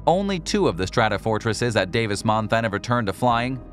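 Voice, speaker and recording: Man, low voice, scripted documentary voice-over, measured read, faint background music. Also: big pitch swings. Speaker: documentary narrator.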